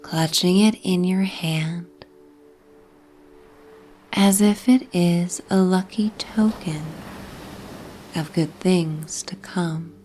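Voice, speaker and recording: Woman reading a sleep story super slow, lowish soft breathy voice, half whispering, soothing. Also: slight background noise. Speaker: narrator of sleep stories. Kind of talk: bedtime sleep story narration, guided relaxation ASMR.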